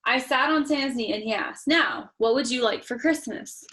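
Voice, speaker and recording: Higher-voiced woman reading to young children. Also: normal speed. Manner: expressive, talking directly to young children. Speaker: storytime reader. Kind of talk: children's picture book read-aloud with chatty asides.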